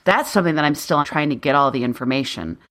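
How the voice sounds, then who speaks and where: Podcast host: low voice, earnest and personal, conversational interviewer. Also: expressive, fast, a bit bright. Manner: earnest, personal, shares own story.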